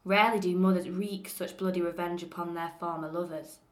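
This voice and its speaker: A young woman with a Lancashire accent, reading a passage in a clear, measured way and then chatting casually about herself. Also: fairly low pitch. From northern England. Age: young adult. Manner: clear, measured reading; relaxed and chatty when talking freely. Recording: roomy echo.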